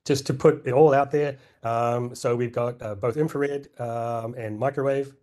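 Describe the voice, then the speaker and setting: A middle-aged man presenting at a scientific conference, hoarse and clearing his throat, with many fillers and restarts. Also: medium pitch, steady tone, measured pace, a little mellow.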